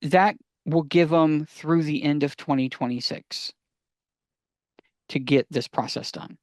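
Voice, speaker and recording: Higher-voiced man explaining things on a podcast. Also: a little slow, no echo. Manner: emphatic, explanatory, poses questions and answers them. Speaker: podcast co-host. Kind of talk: casual podcast discussion.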